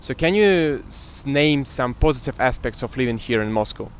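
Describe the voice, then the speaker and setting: A man, asking street interview questions in a halting way. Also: medium pitch, lively intonation, a little hiss or hum. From Russia. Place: outdoors on a city street.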